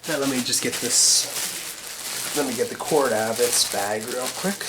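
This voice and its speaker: A man with a stuffy head cold speaks slowly and haltingly, thinking aloud as he unpacks something. His voice sits at a middling pitch. The home camera recording is noisy, with clicks, and he often drifts away from the mic. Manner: halting, thinking aloud, many pauses and fillers. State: congested with a cold. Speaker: vacuum hobbyist doing an unboxing. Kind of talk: casual unscripted unboxing.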